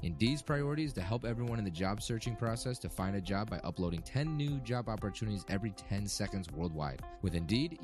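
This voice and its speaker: Low-voiced man reading over music. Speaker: commercial voice-over. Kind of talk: scripted radio ad read. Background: music bed.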